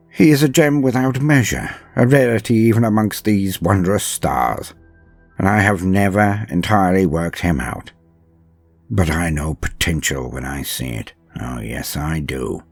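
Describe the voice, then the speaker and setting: Grave, proud inquisitor played by a man for audio drama: deep, solemn, theatrical delivery with sweeping inflection and a husky edge. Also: dry recording, full character voice. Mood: reverent, intent. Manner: solemn, theatrical, with deliberate pauses. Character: grave, proud inquisitor, last of a revered line, haunted. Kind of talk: dramatic first-person narration in audio drama.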